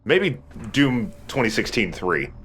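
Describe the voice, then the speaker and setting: A man's voice: medium pitch, sing-song, takes it slowly, a bit bright. A man hosting a let's play, giving casual gameplay commentary.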